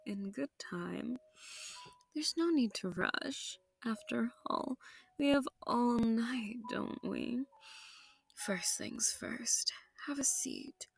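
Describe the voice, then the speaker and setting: A woman's voice: a little high, lively intonation, extremely slow, vocal fry, breathy edge, no echo, exaggerated voice. A woman playing a seductive, sadistic vampire noble in an intimate audio roleplay, genteel and teasing with menace, often sinking into whispers. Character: seductive, sadistic, possessive female vampire noble hosting her foe.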